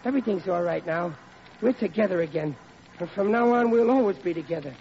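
An actor playing an eager, bumbling newlywed husband who stammers excitably in an old-time radio comedy. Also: male voice, high voice, brisk. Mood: relieved, doting. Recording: muffled, noisy old radio broadcast.

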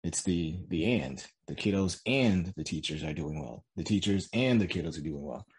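A man's voice: sits low, animated, normal speed, a little mellow, husky, rough recording. A man hosting a conversational podcast, musing aloud and summing up what others have said. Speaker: podcast host.

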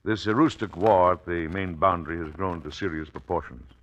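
An actor playing a dignified, middle-aged president and father in old-time radio drama, formal, measured and easily exasperated. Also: low voice. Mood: grave, measured. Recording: old radio recording, faint hiss.

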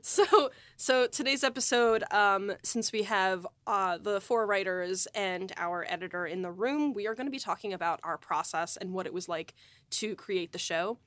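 A woman hosts a podcast in a loose, conversational way. Her pitch sits in the middle range for a woman, and she is playful and bantering. The sound is dry, with very little room in it. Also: moderate pace, a bit bright, no room sound. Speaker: podcast host. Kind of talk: casual chat.

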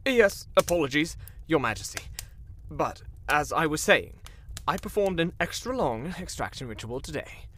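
A man's voice: a little high, very animated, moderate pace, a bit bright, a little hiss or hum. A man playing a nervous, bumbling, obsequious enchanter who stammers and fawns in a fantasy audio drama. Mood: apologetic. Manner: stammering, fawning, flustered.

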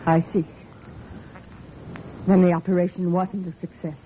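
An actress playing a devoted, anxious wife in an old-time radio drama, hesitant and earnest. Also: female voice, lowish voice, level tone, a little slow, lightly acted. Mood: anxious, brave. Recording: muffled, hissy vintage radio recording.

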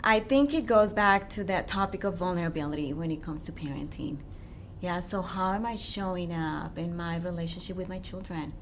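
A woman's voice: on the low side, normal speed, noisy background. A woman who works as a relationship coach, speaking as an interview guest and often checking in with her listener. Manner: warm, earnest, checks in with "yeah?".

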